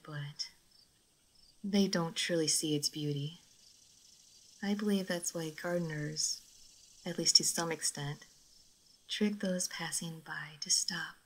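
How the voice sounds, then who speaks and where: Woman acting as a gentle melancholy vampire lady, lowish voice, really slow and soft, audio roleplay. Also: exaggerated voice, low-quality recording. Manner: soft, formal, wistful, sometimes near whispering. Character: centuries-old female vampire, gentle, melancholy lady of the manor. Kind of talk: intimate roleplay audio drama monologue.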